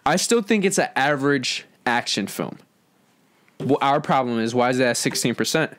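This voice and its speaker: A man's voice: medium pitch, lively intonation, moderate pace, a bit bright. A man hosting a casual, opinionated film discussion among friends in informal, slangy speech. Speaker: host of an entertainment discussion show.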